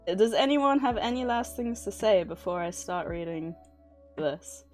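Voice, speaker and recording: A woman's voice: mid-range voice, animated, unhurried. Nervous, giggly woman livestreamer over music. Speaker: livestream host. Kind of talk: casual livestream chat. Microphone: streaming microphone at a desk.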